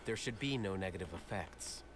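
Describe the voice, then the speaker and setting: A man playing a calm, calculating young male scholar in an anime game, speaking in a measured, cool and deliberate way. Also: low-pitched, very noisy. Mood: detached.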